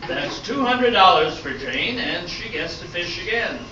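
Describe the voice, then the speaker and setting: A man's voice: high voice, big pitch swings, measured pace, bright, forceful tone, full character voice. A man playing an upbeat, patient game show host in an amateur comedy radio play, with a showy announcer delivery. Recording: roomy, distant, noisy dull stage recording.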